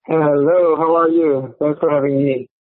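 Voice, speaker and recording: A man in his thirties from South Korea with a Korean accent, giving halting, conversational interview answers peppered with filler words. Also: a little high, fairly even intonation, dry recording. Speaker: podcast guest, company executive. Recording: thin, narrow phone-like line.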